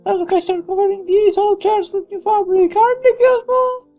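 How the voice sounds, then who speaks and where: A man's voice: very high-pitched, fairly even intonation, fairly quick, darkish tone, faint music behind, voice pushed up. A man voicing a spoiled, gushing young daughter in a visual novel, in a girlish way.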